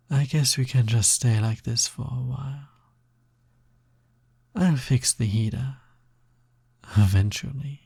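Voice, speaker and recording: A man playing a sly, smug, possessive naga roommate in an intimate audio roleplay, with a breathy, gravelly voice and a teasing drawl that often drops toward a whisper. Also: medium pitch, warm tone, dry recording, full character voice. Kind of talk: intimate scripted audio roleplay, close ASMR-like delivery.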